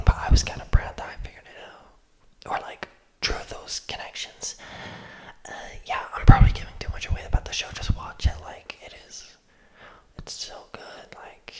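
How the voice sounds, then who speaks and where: A young adult ASMR creator in a close-mic whisper, chatting loosely with lots of fillers and often losing the thread. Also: rough recording. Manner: rambling and loose, heavy on "like" and "um" fillers; often loses the thread of the words. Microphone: close-up mic, near enough to pop.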